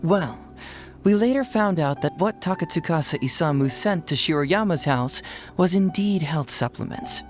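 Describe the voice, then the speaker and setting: A man's voice: a little high, lively intonation, moderate pace, close to an everyday voice. A man playing a teasing young detective. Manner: teasing, quizzing, laughs lightly. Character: sharp, playful young male detective. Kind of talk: video game voice acting. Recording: game audio with music under it. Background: faint game music.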